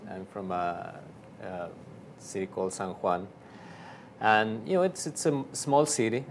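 A man's voice: on the low side, expressive, measured pace, a little hiss or hum. A man from Argentina with an Argentine Spanish accent, a priest telling his story in a casual interview, with many fillers and restarts.

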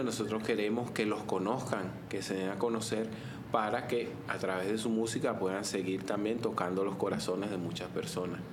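A man co-hosting a religious talk show, devoutly leading a prayer and welcoming a guest. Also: lowish voice. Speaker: co-host. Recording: roomy room with background noise.

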